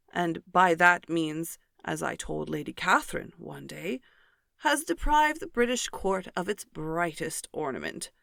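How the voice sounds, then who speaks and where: A woman's voice: on the low side, vocal fry, a bit bright, dry recording, clean studio sound, exaggerated voice. A woman reading the part of a pompous, obsequious male cousin in a storybook, with formal, self-important delivery. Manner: pompous, slow and deliberate, formal wording. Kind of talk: audiobook character reading.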